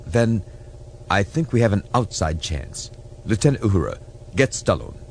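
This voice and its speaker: A man's voice: fairly low pitch, very animated, normal speed, slight background noise, pitched above the performer's own voice. A man voicing a decisive starship captain for an audiobook, giving clipped orders and making earnest appeals. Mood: urgent, hopeful.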